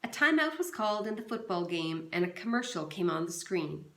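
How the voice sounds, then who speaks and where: A woman teacher reading a novel aloud to her class in a calm, steady storytelling manner, recorded in a roomy, echoey space. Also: medium pitch, brisk. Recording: roomy echo.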